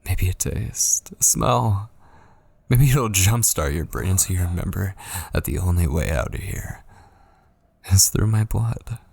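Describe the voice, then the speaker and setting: Male actor as possessive kidnapper lover, low gravelly voice, coaxing and menacing, intimate ASMR roleplay, breathy whispers, close mic. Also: lively intonation, darkish tone, a little hiss or hum, exaggerated voice. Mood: scheming, coaxing. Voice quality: husky, breathy, often dropping into whispers. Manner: slow, coaxing, possessive endearments. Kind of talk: intimate ASMR roleplay, scripted dramatic monologue. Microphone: close studio mic, dry.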